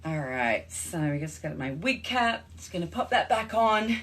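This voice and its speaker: A woman's voice: fairly low pitch, big pitch swings, moderate pace, fairly bright tone. A young Australian woman chatting casually on a live stream from her home, greeting viewers as she changes costumes. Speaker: livestream host. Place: home room.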